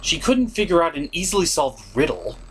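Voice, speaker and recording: A man's voice: on the high side, big pitch swings, a little fast, breathy edge, low-quality recording. A man reviewing a cartoon as a show host, theatrical and exasperated in his opinionated commentary. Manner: theatrical, exasperated, swings into shouted outbursts.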